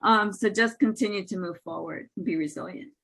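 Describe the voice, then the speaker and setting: Business owner on panel, bright voice, motivational, from Brooklyn. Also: female voice, mid-range voice, a little fast, gravelly, a little hiss or hum. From Brooklyn, New York. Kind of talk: panel discussion.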